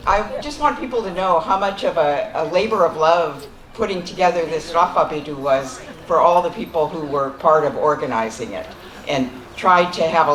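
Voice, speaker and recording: A man giving closing remarks at a festival as an organizer, earnest and halting, with fillers and repeated words. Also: a little high, slight background noise. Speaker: event organizer. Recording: echoey PA sound.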